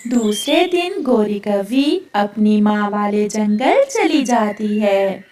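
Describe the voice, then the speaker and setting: A woman's voice: medium pitch, animated, takes it slowly, a little mellow. A woman narrating a scripted children's story. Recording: echoey, noisy, distant microphone.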